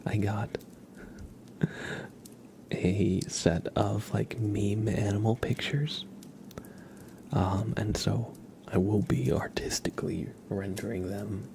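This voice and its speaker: A man hosting an ASMR livestream, chatting gently and casually in a soft, breathy voice with frequent pauses. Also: on the low side, fairly even intonation, a little hiss or hum. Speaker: livestream host.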